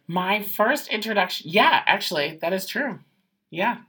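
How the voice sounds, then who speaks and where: A woman hosting a podcast interview, gushing with excitement like an eager fan. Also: mid-range voice, measured pace, husky. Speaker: podcast host. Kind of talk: casual interview.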